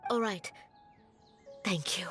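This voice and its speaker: A woman's voice: takes it slowly, fairly bright tone, faint music behind. An actress playing a worried mother pleading for her sick son in a video game. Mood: grateful. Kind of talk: scripted video game voice acting.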